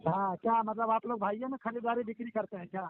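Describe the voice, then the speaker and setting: Over a muffled phone recording comes a high-pitched male voice. He is a debt collector who scolds, interrupts and repeats his demands insistently. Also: steady tone, fast talker. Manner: pushy, scolding, repetitive, interrupting. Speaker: bank loan recovery official. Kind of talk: phone argument demanding repayment. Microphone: telephone call recording.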